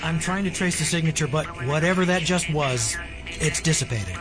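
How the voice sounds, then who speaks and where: Anxious, higher-voiced male tech geek played by an actor. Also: moderate pace, over music, close to an everyday voice. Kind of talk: audio drama dialogue.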